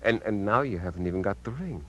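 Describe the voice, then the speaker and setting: Sinister middle-aged American uncle, low and hard-edged, smooth talker, vintage 1950s radio thriller. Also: male voice, lively intonation, a bit bright. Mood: smooth, calculating. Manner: smooth, persuasive, halting under pressure. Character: smooth, menacing middle-aged uncle hiding murderous intent.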